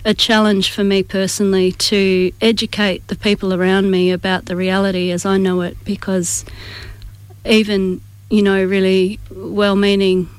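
An articulate woman with an Australian accent answers interview questions reflectively. Her delivery is measured and stays in a narrow pitch range, recorded close and dry. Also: flat delivery, clear, resonant tone, no room sound. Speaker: community group member, interview guest.

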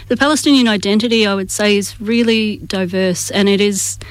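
A woman with an Australian accent giving reflective, articulate interview answers in a measured way. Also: medium pitch, smooth, no room sound, poor audio. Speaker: community group member, interview guest.

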